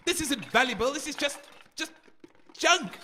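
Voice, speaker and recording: An actor playing a grumpy, anxious radio co-host in a comic audio drama, fretful, complaining and dramatic. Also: male voice, very high-pitched, lively intonation, a little slow, background noise. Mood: scornful.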